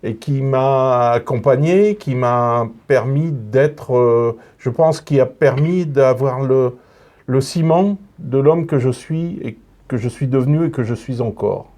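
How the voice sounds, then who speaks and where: A man from northern France, a guest on a radio interview, telling his story slowly with many restarts and repeated words. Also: medium pitch, mellow. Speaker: guest, retired police officer.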